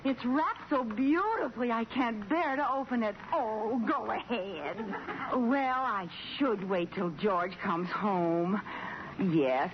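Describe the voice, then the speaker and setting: A woman playing an excitable, adoring housewife in a vintage radio sitcom, with a gushing, dramatic manner. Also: on the high side. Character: excitable, adoring young housewife, sweet and theatrical. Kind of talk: 1940s radio sitcom acting. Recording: dull, noisy old radio recording.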